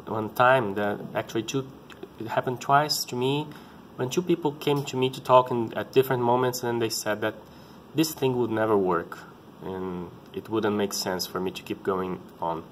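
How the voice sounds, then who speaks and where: A man in his thirties with a Brazilian Portuguese accent, speaking English as a second language, telling his story in a halting and earnest way, often restarting to find words. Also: fairly low pitch, steady tone, slight background noise. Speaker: parent sharing his experience. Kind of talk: casual personal vlog talk.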